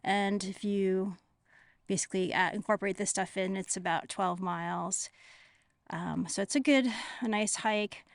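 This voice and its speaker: A woman's voice: medium pitch, steady tone, unhurried, gravelly, no echo, clean studio sound. A middle-aged American woman chatting casually and informally to camera as a hiking vlogger, rambling a little as she goes. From United States.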